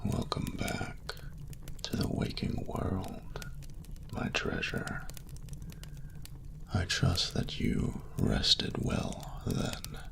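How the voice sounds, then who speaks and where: Man voicing a deep, gravelly, whispering dragon lover. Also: very animated, fairly bright tone, a little hiss or hum, full character voice. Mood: fond, gently teasing. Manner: slow, breathy, formal archaic phrasing, seductive. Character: suave, doting male dragon lover, courtly and teasing. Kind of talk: scripted romantic audio roleplay, intimate address to listener.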